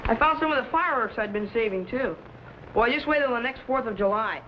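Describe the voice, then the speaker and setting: A man's voice: very high-pitched, animated, brisk. A young American man playing an eager, respectful teenage lab assistant in a vintage sci-fi serial, full of wide-eyed, earnest curiosity. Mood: eager, curious. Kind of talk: 1950s sci-fi serial acting. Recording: dull, noisy vintage broadcast soundtrack.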